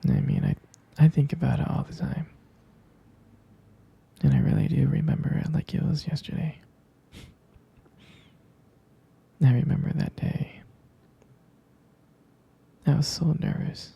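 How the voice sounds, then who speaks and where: A man playing a jealous, devoted boyfriend in an intimate ASMR roleplay, whispering tenderly and hesitantly in a husky, gravelly voice. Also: on the high side, steady tone, full character voice. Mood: tender, nostalgic. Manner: tender, hesitant, slow and hushed. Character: jealous, protective, devoted boyfriend soothing his partner.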